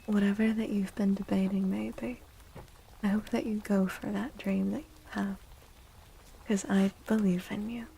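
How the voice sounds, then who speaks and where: A woman streaming soft-spoken ASMR tarot readings in a breathy half-whisper, gentle and encouraging. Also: medium pitch, flat delivery, measured pace, slight background noise, rough recording.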